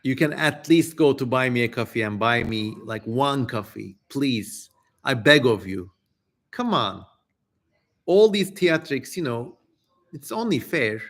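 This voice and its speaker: Slow-paced theatrical man streamer. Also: mid-range voice, animated, fairly bright tone. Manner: theatrical, jokey, informal swearing. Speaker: streamer, host. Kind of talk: dramatic reading livestream with casual asides.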